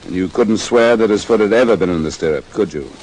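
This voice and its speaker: A man acting in an old-time radio detective drama as a persistent, coolly polite insurance investigator with a measured, insistent way of questioning. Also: fairly low pitch, darkish tone, close to an everyday voice, poor audio. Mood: measured, probing. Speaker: lead actor playing an insurance investigator.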